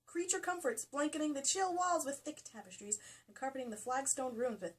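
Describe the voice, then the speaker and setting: A woman's voice: sits high, a little fast. A woman giving a comedic dramatic reading of a novel, jokey and prone to breaking off into casual asides. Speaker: co-host reading a romance novel aloud. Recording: faint background noise, amateur home video.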